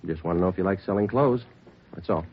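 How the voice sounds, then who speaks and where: An actor playing a terse, businesslike police detective and narrator in 1950s radio drama style. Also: male voice, low-pitched, fast talker, a little hiss or hum, close to an everyday voice. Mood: dry. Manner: terse, deadpan. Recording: dull old radio recording with loud music.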